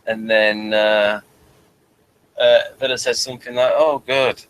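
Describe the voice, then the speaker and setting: A man hosting a casual review discussion, speaking in a halting, rambling way and often repeating his phrases. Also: mid-range voice, steady tone, a bit bright, slight background noise, rough recording. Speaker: host of a TV episode review.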